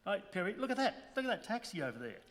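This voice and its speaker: Excitable sidekick photographer acted by a man, very animated, pleading and stuttery, comic radio drama in an echoing hall. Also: high voice, fast talker, full character voice. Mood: pleading, urgent. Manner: stammering, urgent, repeating himself. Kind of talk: comic stage radio-play acting. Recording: reverberant hall.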